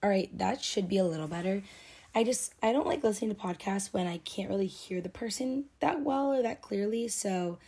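A woman's voice: medium pitch, mellow. A woman, hosting her own podcast in a rambling, confessional chat full of fillers. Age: 20s. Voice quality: raspy. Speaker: podcast host. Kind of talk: casual solo podcast monologue.

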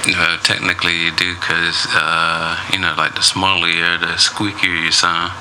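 A man's voice: low-pitched, level tone, moderate pace, pushed and bright, a little hiss or hum, rough recording. A man co-hosting a casual podcast, talking in a laid-back, slangy drawl. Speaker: podcast co-host. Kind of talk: casual chat.

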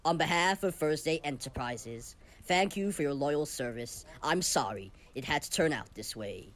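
A man acts out a doddery-minded company boss for a comedy audio play. He rambles and loses his thread, cheerfully oblivious and tactless, with pitch that leaps about in a very lively way. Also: a little hiss or hum. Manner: halting, forgetful, cheerfully oblivious. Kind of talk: comic audio play acting.

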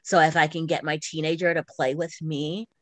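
A woman's voice: low voice, flat delivery, a little fast, no room sound. A middle-aged woman co-hosting a podcast, chatting casually about her hobbies in an informal way. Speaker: podcast co-host. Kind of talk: casual chat about video games.

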